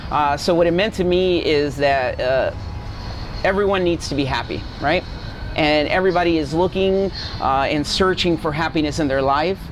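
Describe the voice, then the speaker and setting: Male guest, forty, from Guatemala, slightly high voice, earnest storyteller, clear traffic noise behind. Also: normal speed, rough recording. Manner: earnest, seeks agreement with tag questions. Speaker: interview guest. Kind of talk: personal storytelling in casual interview. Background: street traffic and vehicles.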